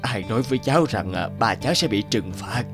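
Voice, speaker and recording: A man's voice: fairly low pitch, expressive, brisk. An actor playing a stern, busy older businessman in a cartoon, with music behind him. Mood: gentle. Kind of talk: cartoon voice acting.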